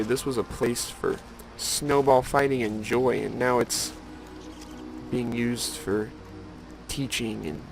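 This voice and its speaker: A man giving casual, rambling game commentary with musing, wry asides to the viewer. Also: medium pitch. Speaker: gameplay video host.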